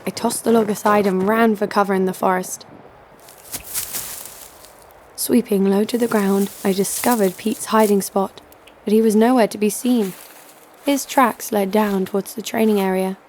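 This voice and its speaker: An actress playing a tough, sharp-tongued young woman fighter, narrating and speaking in an audio drama with a sardonic edge. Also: medium pitch, fairly even intonation, normal speed, pauses often, slight background noise, lightly acted. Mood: narrating, wry. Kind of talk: audio drama first-person narration and dialogue.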